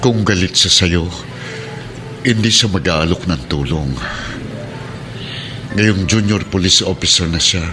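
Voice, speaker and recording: A very low-pitched middle-aged father speaks with gentle, remorseful earnestness. It is radio melodrama, with music clearly playing underneath. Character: remorseful, earnest father, police officer near retirement. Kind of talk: radio drama acting in Tagalog. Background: dramatic music.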